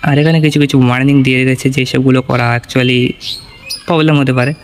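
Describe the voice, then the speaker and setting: A man's voice: mid-range voice, steady tone, fast, soft background music. A man giving an informal gear walkthrough, explaining step by step and often checking that the listener follows. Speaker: audio gear reviewer.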